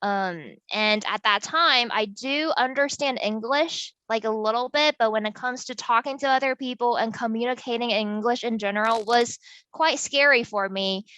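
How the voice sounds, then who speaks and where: A woman's voice: a little high, moderate pace, sharp tone, dry recording. A woman in her twenties from Taiwan, explaining things casually as an interviewee. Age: 20s. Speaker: tech company employee being interviewed. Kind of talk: casual explanatory talk about work.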